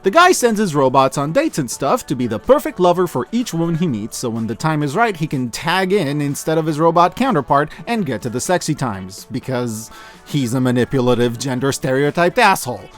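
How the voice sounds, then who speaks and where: A man hosting a scripted review in a casual, joking, wry way, with expressive, emphatic delivery. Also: a little high, brisk. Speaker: host of a year-end media review show. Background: music behind the voice.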